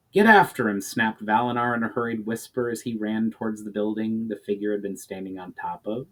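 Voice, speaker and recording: A man reading a fantasy novel aloud as a dramatic narrator, with expressive, theatrical phrasing. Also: mid-range voice, low-quality recording. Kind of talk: dramatic audiobook-style narration.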